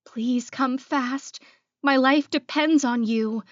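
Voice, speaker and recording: A woman's voice: sits high, slow, dry recording, muffled recording, voice pushed up. A woman voicing a terrified, pleading young woman in an audiobook, stammering and trembling. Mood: panicked, pleading. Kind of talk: audiobook character acting.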